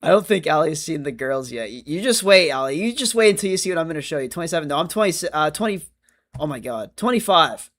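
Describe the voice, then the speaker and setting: A man's voice: fairly high pitch, animated, fast, fairly bright tone. A man of twenty-five chatting casually on a livestream, answering viewer comments in a rambling, self-correcting way. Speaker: streamer.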